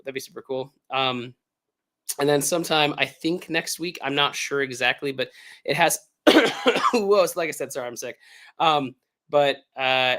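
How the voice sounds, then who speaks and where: A man with an American accent, hoarse from illness, chatting casually and upbeat as the host of a livestream Q&A, with lots of fillers. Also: medium pitch, a bit bright. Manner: rambling, filler-heavy, upbeat. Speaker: author hosting a livestream Q&A.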